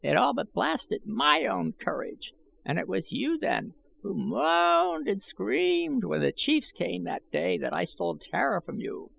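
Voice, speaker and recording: High-pitched, excitable old man. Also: unhurried, gravelly, full character voice, poor audio. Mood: excited, mocking. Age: older. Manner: excitable, archaic, pleading speech. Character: bent, wrinkled old man, eager, admiring, crafty. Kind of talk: audiobook character voice.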